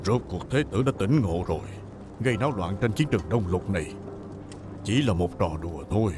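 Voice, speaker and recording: A man's voice: medium pitch, close to an everyday voice. A man dubbing a proud, stern veteran warlord in a historical war drama, grave and admonishing. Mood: scornful, fierce. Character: stern, proud veteran male warlord mentoring his heir. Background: music.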